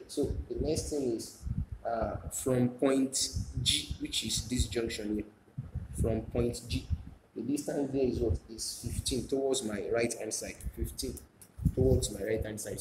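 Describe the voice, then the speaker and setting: A man giving a step-by-step drawing demonstration as a teacher, repeating phrases and answering his own questions. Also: medium pitch. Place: indoors at a drawing desk. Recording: echoey room, noisy, with clicks.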